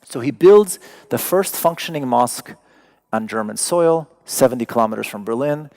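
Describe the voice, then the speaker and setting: A man's voice: mid-range voice, animated, moderate pace, darkish tone. A man, a native Persian speaker, giving a talk to an audience, wry and digressive with joking asides. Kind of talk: lecture to an audience.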